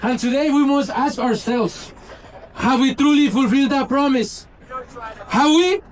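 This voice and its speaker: A man's voice: extremely high pitch, poor audio. A man giving an impassioned rally speech outdoors, building rhetorical lists and slogans. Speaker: rally speaker. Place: outdoor rally in a city square.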